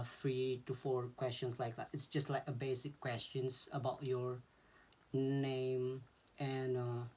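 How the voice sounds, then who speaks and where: A Filipino man in his thirties talks unhurriedly, straight to a camera close by in a small bedroom. His pitch sits in the middle, and he talks loosely, restarting phrases and filling gaps with "uh". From Philippines. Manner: relaxed, repeats words and fills with uh. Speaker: vlogger explaining an exam. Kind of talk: casual advice vlog.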